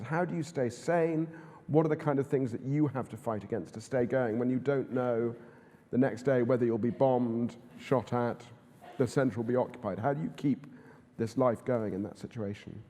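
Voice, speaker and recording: Mellow-voiced man, halting interviewer. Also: mid-range voice. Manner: hesitant, many fillers and restarts. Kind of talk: onstage conversation. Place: conference hall. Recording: reverberant hall.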